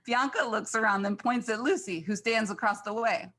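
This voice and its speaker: A woman's voice: fairly high pitch, moderate pace, fairly bright tone. A woman reading scripted stage directions as the narrator of a screenplay.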